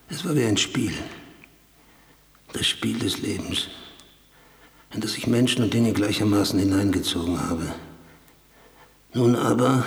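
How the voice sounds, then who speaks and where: Vain, world-weary recluse writer, low voice, condescending irony, radio drama with long reverb. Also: male voice. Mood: brooding. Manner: condescending, deliberate, wordy and ironic. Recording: long reverb, music behind.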